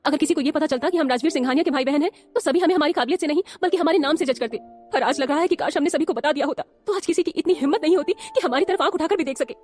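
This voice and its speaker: A woman's voice: high-pitched, rapid-fire, darkish tone, soft background music, voice pushed up, lightly acted. A woman voicing a protective elder sister who speaks earnestly and firmly. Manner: earnest, insistent.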